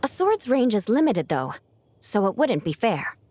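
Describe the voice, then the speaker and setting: A woman's voice: medium pitch, sing-song, unhurried, darkish tone. A woman voicing a confident, sporting young knight in a video game, poised and politely competitive. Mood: playfully competitive.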